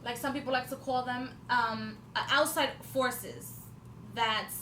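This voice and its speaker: High-voiced rambling woman in her twenties. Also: steady tone, a little slow, fairly bright tone. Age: 20s. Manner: rambling, many fillers and restarts, swears for emphasis. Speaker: vlogger. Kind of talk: casual spiritual talk to camera. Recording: echoey room with faint background noise.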